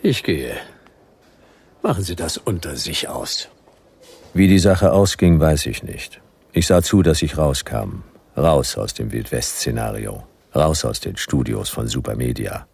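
Gravelly, very deep noir detective. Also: male voice, expressive, a little slow, a little mellow, heavily acted. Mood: weary, sardonic. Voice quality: gravelly, raspy. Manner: terse, laconic, dry. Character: world-weary, sardonic hard-boiled private detective in dystopian future. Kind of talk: noir first-person narration in radio drama.

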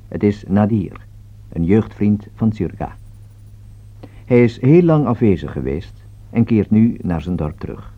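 Deep-voiced Dutch radio announcer, man, dull old recording. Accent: Netherlands Dutch. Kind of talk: formal scripted opera introduction on radio.

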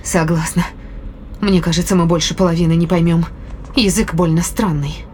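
A woman's voice: fairly low pitch, steady tone, moderate pace, darkish tone, a little hiss or hum, lightly acted. A woman playing an anxious, deferential spaceship crew member in an audio drama, hesitant and questioning. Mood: uneasy.

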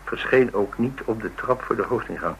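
A man's voice: mid-range voice, moderate pace, a bit bright, slight background noise, close to an everyday voice, poor audio. An actor playing a rugged, blunt man, telling of his exploits in a wry, colloquial radio drama style. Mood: musing, confiding. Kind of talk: Dutch radio drama dialogue, conversational storytelling.

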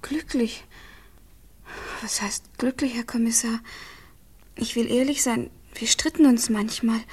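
Grieving young widow, highish husky voice, hushed, halting, restrained, radio crime drama. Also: female voice. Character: distressed, composed younger wife, newly widowed.

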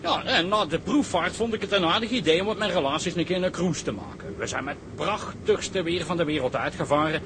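Man playing wealthy yacht owner, genteel chatty manner, swooping higher voice, self-satisfied, noisy sea-drama recording. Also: expressive, a little fast, few pauses, natural voice. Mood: breezy, boastful. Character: wealthy, affable yacht owner, flustered when money is at stake. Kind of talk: Dutch dramatised sea story acting.

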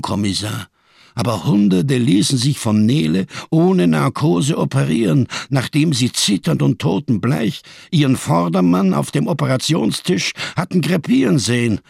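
A man's voice: on the high side, no echo, clean studio sound, heavily acted. An actor in a radio drama playing a scarred, hard-drinking survivor of the camps, solemn and rhetorical, bitterly defiant in his grief. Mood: grim, brooding. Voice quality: gravelly, husky. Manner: solemn, rhetorical, swinging between hushed and impassioned.

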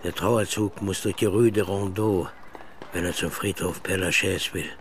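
Curt, sardonic police inspector. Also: male voice, sits low, fairly even intonation, normal speed, background noise. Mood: businesslike. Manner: curt, commanding, sarcastic. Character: brusque, sardonic male French police inspector. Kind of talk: crime radio drama dialogue.

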